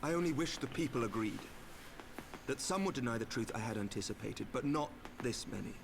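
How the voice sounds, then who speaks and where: An actor playing a grave, noble knight commander in a game, speaking formally and solemnly. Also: male voice, mid-range voice, expressive, brisk, vocal fry, noisy background. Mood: solemn, burdened. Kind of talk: scripted game cutscene acting. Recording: game audio with background ambience.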